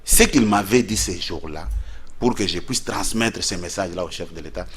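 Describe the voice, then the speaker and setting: A man's voice: low voice, normal speed, breathy edge. A man as a studio guest in a heated debate, insistent and repeating words to hold the floor.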